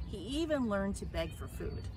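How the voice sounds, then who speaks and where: A woman reading a children's storybook aloud in an expressive, emphatic way. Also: medium pitch, moderate pace. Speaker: story reader. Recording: clear background noise.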